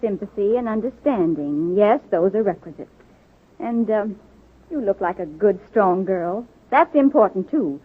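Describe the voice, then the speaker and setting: Dignified nursing superintendent, tinny vintage radio. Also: female voice, medium pitch, lively intonation, husky. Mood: measured, instructive. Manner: measured, formal, deliberate. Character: dignified, kindly but exacting female superintendent of nurses. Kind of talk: 1940s radio drama acting. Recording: muffled phone-like vintage radio recording.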